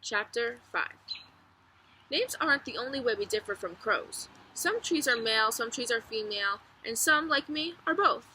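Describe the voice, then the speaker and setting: A woman reading a children's book aloud as narrator, expressively, with clear storytelling phrasing and emphasis. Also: on the high side, animated, moderate pace, pushed and bright, a little hiss or hum, low-quality recording.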